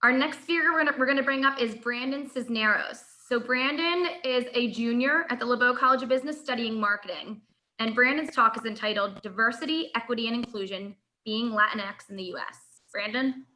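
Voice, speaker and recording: A woman's voice: a little high, a little fast, pushed and bright, poor audio. A woman hosting an event, introducing speakers in a friendly, upbeat way. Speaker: event host.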